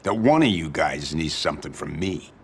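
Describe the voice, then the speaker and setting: A man playing a wary, crooked union boss in a crime game, gravelly, guarded and sly. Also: very deep voice, expressive, moderate pace, slight background noise. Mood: wary, sardonic. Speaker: video game character actor. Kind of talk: cinematic crime drama acting.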